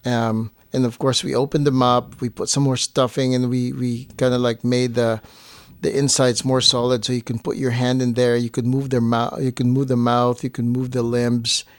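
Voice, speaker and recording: A man co-hosting a casual explanatory chat, speaking haltingly with frequent pauses mid-phrase. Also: medium pitch, little pitch movement, no room sound. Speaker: co-host and filmmaker.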